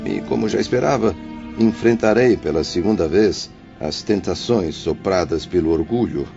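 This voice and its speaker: Deep-voiced, solemn male spirit played by a man. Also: animated, moderate pace, gravelly, warm tone. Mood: grave, determined. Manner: grave, formal, declamatory. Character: solemn, remorseful male spirit of a former suicide, preparing for rebirth. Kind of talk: dramatized audiobook acting. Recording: dull lo-fi audio with music behind.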